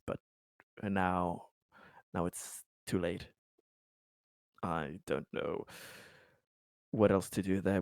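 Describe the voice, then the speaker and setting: A man speaking haltingly and hesitantly, groping for words as he plays out dialogue in a tabletop roleplaying game. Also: fairly low pitch, creaky, darkish tone, dry recording, studio quality.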